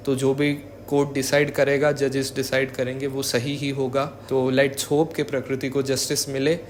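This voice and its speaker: Flat-toned young male vlogger. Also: on the high side, slight background noise. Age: young adult. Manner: code-switches with English words. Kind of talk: informal spoken commentary.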